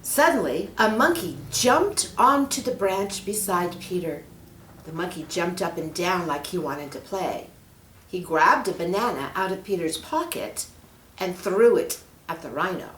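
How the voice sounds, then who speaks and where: A woman reads a children's story aloud at a slow, deliberate pace. Her pitch moves widely and expressively as she speaks directly to young listeners. The room adds a noticeable echo. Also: lively intonation, a bit bright, slight background noise. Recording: roomy, echoing room.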